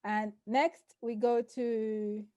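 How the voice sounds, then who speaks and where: A woman's voice: a little high, little pitch movement, extremely slow, warm tone, no room sound, dull audio. A woman hosting a casual group chat, teasing the others and laughing as she leads. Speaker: host.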